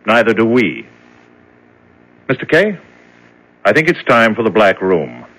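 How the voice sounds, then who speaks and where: Chief interrogator for radio drama: deep-ish, incisive, expressive range, commanding and unbudging. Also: male voice, unhurried, exaggerated voice, poor audio. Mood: coolly implacable. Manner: curt, controlled, commanding. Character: coolly implacable male interrogator, man in charge. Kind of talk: 1970s radio drama acting.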